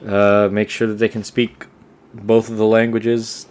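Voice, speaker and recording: A young man with a fairly low voice chats easily as a podcast co-host. He rambles, circles back and fills pauses with "um" and "like" in a loose, relaxed way. The delivery is plain and unhurried. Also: clear, resonant tone. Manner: relaxed, rambling with many fillers and restarts. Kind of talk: casual conversation.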